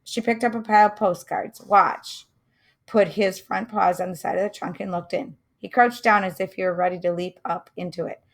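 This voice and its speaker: A woman reading a children's mystery chapter aloud, pausing to comment and wonder aloud to her listeners. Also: mid-range voice, steady tone, creaky. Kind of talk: read-aloud storytelling with asides.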